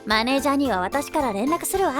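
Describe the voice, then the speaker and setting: A woman playing a lively teenage schoolgirl idol in an anime-style voice drama, self-assured, feminine and playfully scolding. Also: high-pitched, fast talker, music in the background. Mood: earnest. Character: lively teenage schoolgirl pop idol, sociable, teasing friend.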